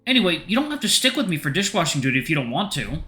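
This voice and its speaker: A man's voice: on the high side, sing-song, very fast, sharp tone, faint music behind, pitched above the performer's own voice. A man voicing a playful, caring young man who talks in a light, teasing, earnest way. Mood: playful, bossy.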